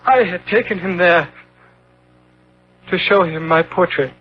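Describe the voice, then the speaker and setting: A dull, hissy 1970s radio recording carries a young gentleman rotten with guilt. His voice sits high and he speaks slowly, with theatrical, stammering desperation, pleading and overwrought. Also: husky. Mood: anxious, confessing. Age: young adult. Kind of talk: 1970s radio drama acting.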